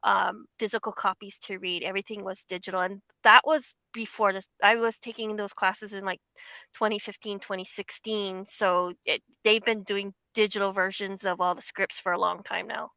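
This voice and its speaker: A woman's voice: mid-range voice, steady tone, normal speed, sharp tone, dry recording. A woman in a casual group discussion, explaining things in an eager, agreeable way. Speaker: panelist, voice actor.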